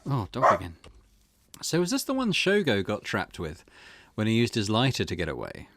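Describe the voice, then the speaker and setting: A man hosting a gaming playthrough, conversational in his commentary and expressive when reading the story text aloud. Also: lowish voice, moderate pace, very noisy. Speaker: gaming channel host.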